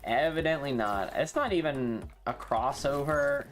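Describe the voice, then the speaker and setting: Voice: mid-range voice, measured pace. A streamer with an androgynous voice talks unhurriedly while playing a game live. The delivery is casual and sweary, with self-mocking slang. Faint music plays behind the voice.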